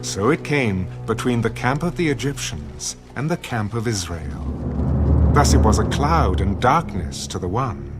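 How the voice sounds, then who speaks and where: Solemn male narrator over loud music. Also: on the low side, sing-song. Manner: solemn, measured storytelling. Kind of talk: dramatised scripted scripture narration. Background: loud dramatic background music.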